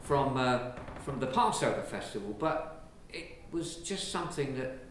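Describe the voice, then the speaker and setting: An Englishman, a priest, giving a lively, conversational sermon from the pulpit of a large church, filmed from a distance. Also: medium pitch, measured pace. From England. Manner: lively, conversational preaching with gestures. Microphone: far camera. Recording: very echoey church from far off, faint hiss.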